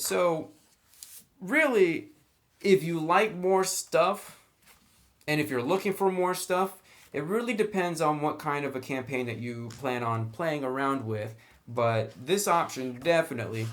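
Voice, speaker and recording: A man's voice: medium pitch, fairly bright tone. Casual man reviewing a tabletop game book. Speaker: reviewer of tabletop roleplaying books. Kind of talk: casual unscripted review commentary.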